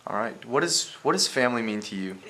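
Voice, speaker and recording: A man's voice: mid-range voice, lively intonation, brisk, pushed and bright. A teenage male interviewer asking questions in a casual, informal way. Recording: echoey room, faint noise.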